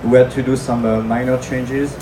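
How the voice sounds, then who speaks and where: A man's voice: medium pitch, level tone, moderate pace. A man with a French accent giving a technical conference presentation, hesitant, full of filler sounds and restarts. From France. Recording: echoey room from far off, noisy.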